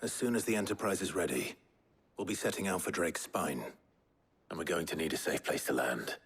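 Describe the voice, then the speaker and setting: An actor playing a determined, brooding warrior leader in a video game, with a British accent and a terse, grave manner. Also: male voice, low voice, brisk, fairly bright tone, exaggerated voice. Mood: grave resolve. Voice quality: husky, gravelly. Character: determined, brooding male warrior leader, reckless but steady. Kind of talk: video game cutscene acting.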